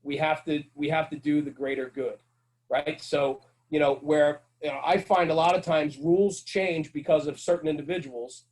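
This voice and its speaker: Man, middle-aged, emphatic, earnest, repeats himself for stress, slightly high-pitched. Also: fairly even intonation, normal speed, a little mellow. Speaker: guest, firefighter and fire-service radio host. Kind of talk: casual podcast interview.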